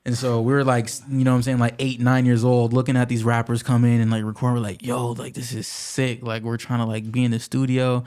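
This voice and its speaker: A man's voice: mid-range voice, little pitch movement, brisk, hardly any pauses, a little mellow, clean tone. A man in his twenties, a rapper from the Pacific Northwest, telling stories in slangy, laid-back podcast chat. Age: 20s. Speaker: rapper guest.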